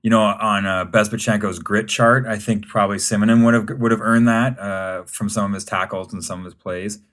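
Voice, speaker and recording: A man's voice: lowish voice, steady tone, fairly bright tone. A man chatting about soccer as a panelist, rambling and joking. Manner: fast, rambling, joking asides. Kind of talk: casual chat.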